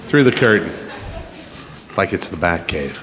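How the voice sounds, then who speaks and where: A man's voice: low voice, lively intonation, a little slow. A man moderating a live panel, teasing and joking as he goes. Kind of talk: jokey live panel hosting. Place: large convention hall with live audience. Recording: echoey hall, audience and background noise.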